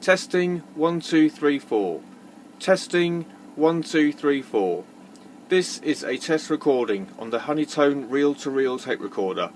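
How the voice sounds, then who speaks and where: A man giving a measured, matter-of-fact technical demonstration, as if presenting equipment from a script. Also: a little high, lively intonation, darkish tone, slight background noise.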